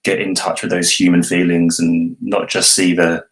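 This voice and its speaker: Male voice actor, notably low pitch, measured and reflective in relaxed interview conversation. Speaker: voice actor, cast member. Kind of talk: casual interview talk. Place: online voice call.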